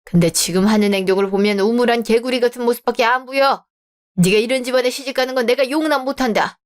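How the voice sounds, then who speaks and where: A woman's voice: a little high, fast, lightly acted. A woman voicing a stern, protective middle-aged father in a radio drama who speaks curtly.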